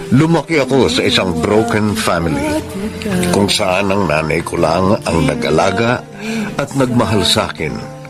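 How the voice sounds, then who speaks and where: An actor playing a middle-aged husband and teacher with a cold, dismissive temper in a radio drama. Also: male voice, deep voice. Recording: music behind the voice.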